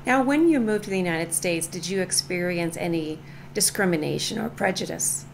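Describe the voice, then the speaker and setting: A woman radio host opening her show and interviewing a guest in a smooth, scripted manner. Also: on the low side, expressive, normal speed, a little hiss or hum.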